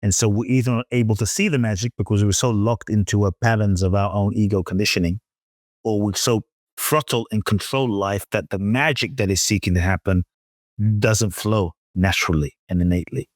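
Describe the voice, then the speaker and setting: Low-voiced man, measured and emphatic. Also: no echo, clean studio sound. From London, England. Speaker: guest, spiritual teacher and author. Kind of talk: reflective storytelling in an interview.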